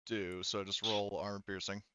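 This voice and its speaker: Bright, clear-voiced man running a tabletop game. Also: lowish voice, level tone, normal speed. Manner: casual, profane. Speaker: game master. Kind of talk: improvised tabletop roleplaying game narration and rules calls.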